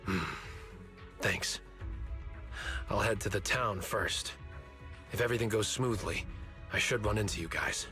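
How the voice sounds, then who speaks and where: Reserved, low, sharp-voiced swordsman. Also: unhurried, long pauses, soft background music. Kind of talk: video game voice acting.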